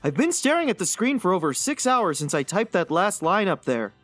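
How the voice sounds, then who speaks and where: A woman's voice: fairly low pitch, sing-song, brisk, no room sound, soft background music. A woman voicing a gloomy, pedantic oddball blogger in an earnest, fussy, theatrical dramatic reading.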